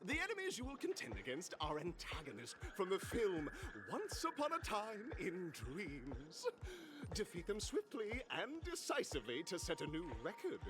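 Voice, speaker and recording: A man's voice: sits high, wildly swooping pitch, normal speed. A man playing a flamboyant, grandiose game show announcer, theatrically presenting a festival contest in a video game, with music behind him. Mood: showy, brisk.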